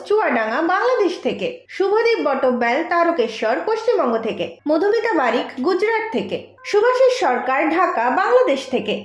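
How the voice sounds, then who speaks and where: A woman reading a scripted quiz announcement in an echoey voice-over. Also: high-pitched.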